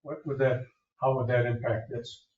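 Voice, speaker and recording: A man's voice: mid-range voice, little pitch movement, a little hiss or hum. Man chairing a meeting, echoey and distant. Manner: measured, conversational. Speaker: board chair. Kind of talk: public meeting discussion. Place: village hall meeting room. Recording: echoey room, distant muffled mic.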